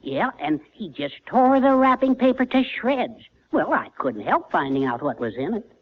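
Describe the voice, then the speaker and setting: An actor playing a meek, weary henpecked American neighbor with a fussy, plaintive, formal manner in 1940s radio comedy. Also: male voice, high-pitched, sing-song, normal speed. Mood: hesitant, yielding. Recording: muffled, noisy vintage radio broadcast.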